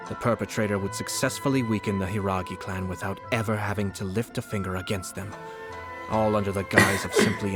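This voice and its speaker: A man voicing a calm, shrewd young noble. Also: lowish voice, close to an everyday voice. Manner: measured, composed and analytical. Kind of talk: game voice acting. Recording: game audio over loud background music.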